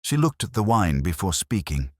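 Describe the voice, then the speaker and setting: A man playing a weary, melancholy police inspector, narrating a mystery drama in solemn, literary, measured phrases. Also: low voice, very animated, no echo, clean studio sound. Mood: somber, reflective. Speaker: narrator of a detective audio drama. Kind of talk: scripted first-person mystery narration.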